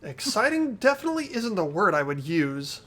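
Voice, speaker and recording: Man as an earnest, highish-voiced schoolboy, very animated. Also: normal speed, exaggerated voice. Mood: uneasy, earnest. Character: earnest, anxious everyman high school boy. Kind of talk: fan-dubbed visual novel acting and narration.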